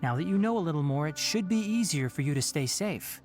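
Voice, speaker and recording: A woman's voice: low-pitched, animated, a little fast, darkish tone. An actress playing a young woman physician in a video game, principled and guarded, speaking in a formal, firm, articulate way. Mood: earnest. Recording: music behind the voice.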